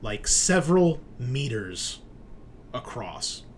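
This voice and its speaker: A man's voice: mid-range voice, very animated, slow pace. Man game-mastering, faint background noise. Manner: casual, conversational, swears. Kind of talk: improvised narration of a tabletop horror game.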